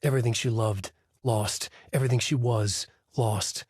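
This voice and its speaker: A man's voice: medium pitch, steady tone, moderate pace, darkish tone, slightly rough, no echo, clean studio sound, natural voice. A man voicing a haunted male horror novelist, delivering grim noir-style inner monologue as game voice lines. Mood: brooding. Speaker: narrator.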